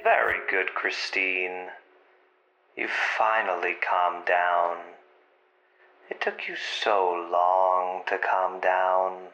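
Man playing a slow, eerily soothing controller. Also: deep voice, level tone, dull audio, heavily acted. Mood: sinister calm. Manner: deliberate, patronising, repetitive. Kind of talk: supernatural audio drama acting.

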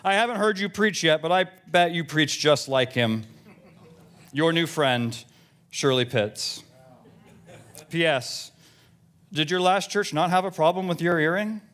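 A man plays a busybody church lady in her seventies, reading out her letters for comedy. The delivery is blunt and gossipy, with indignant, self-righteous complaints in a slightly high pitch. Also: a little slow, pauses often, fairly bright tone. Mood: chirpy, pointed. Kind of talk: comic reading of emails aloud.

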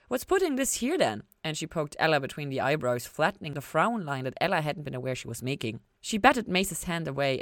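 A woman reading fiction aloud as an expressive narrator on a podcast. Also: low-pitched, no echo, clean studio sound. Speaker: reader of a fan fiction podcast.

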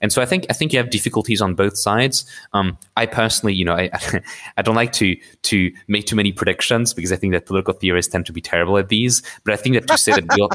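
A man's voice: on the low side, fast, a bit bright. A man from Morocco, a political theorist interviewed on a podcast, speaking thoughtfully with frequent restarts and hedges. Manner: thoughtful, hedging with many restarts.

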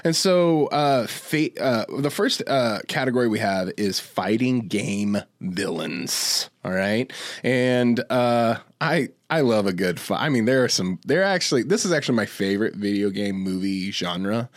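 Animated low-voiced man chatting. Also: measured pace, dry recording, clean studio sound. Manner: enthusiastic, rambling, repeats himself. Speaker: podcast co-host. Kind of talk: casual podcast chat about movies.

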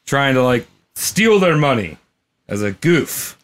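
A man hosting a casual comedy podcast, telling a history story in a lively way and laughing often. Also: mid-range voice, slow pace. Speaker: podcast host.

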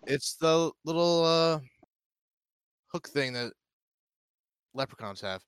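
A man's voice: a little high, animated, takes it slowly, clear, resonant tone, no room sound. A man co-hosting a podcast, chatting casually and swearing freely. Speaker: podcast co-host. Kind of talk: casual, profane banter.

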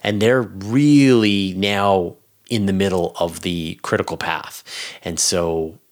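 A man's voice: low-pitched, fairly even intonation, slow pace. A man speaking as an expert podcast guest, giving casual, emphatic explanations in an informal way.

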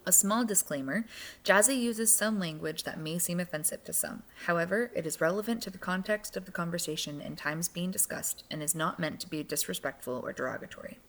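A woman with a fairly low voice reads a scripted podcast introduction. Her delivery is formal and polished, in the manner of a program manager. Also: brisk.

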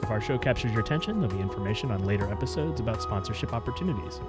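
Male podcast host, American, on the low side, reading a scripted intro over prominent music. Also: animated, very fast. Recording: loud music behind the voice. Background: loud music.

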